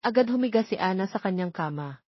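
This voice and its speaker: Woman narrating a love story audiobook, medium pitch, slightly husky, a bit muffled. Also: expressive, fast talker, no room sound. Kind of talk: scripted romance story narration.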